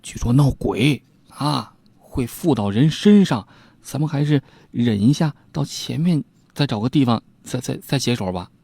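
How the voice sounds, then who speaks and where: A man's voice: mid-range voice, lively intonation, measured pace, creaky, warm tone, breathy edge, no echo, studio quality, pitched above the performer's own voice, heavily acted. A man storyteller voicing a timid young village husband, chatty and hesitant as he coaxes. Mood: uneasy.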